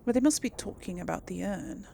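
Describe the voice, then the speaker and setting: A woman doing casual, chatty video game commentary with a creaky edge to her voice. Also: mid-range voice, expressive, brisk, mellow, slight background noise. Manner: chatty, talks to the audience. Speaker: gaming channel host playing through a role-playing game. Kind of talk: casual gameplay commentary with in-character dialogue read-alongs.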